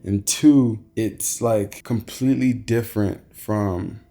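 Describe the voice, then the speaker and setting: Soft-spoken young man, gravelly, rambling. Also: on the low side, fairly even intonation, slow pace. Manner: soft, reflective, rambling, with trailing fillers. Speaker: content creator. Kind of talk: casual vlog talk.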